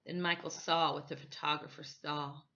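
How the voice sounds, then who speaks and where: A woman reading a children's story expressively, with dramatic, deliberate phrasing, on a slightly dull recording. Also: sits low, little pitch movement, bright, forceful tone. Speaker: storytime reader.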